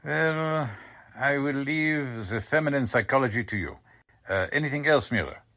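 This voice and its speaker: A man's voice: mid-range voice, animated, moderate pace, bright, forceful tone. A man playing a smugly polite, condescending Nazi commander in a 1940s-style radio drama, speaking formally. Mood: sly, satisfied.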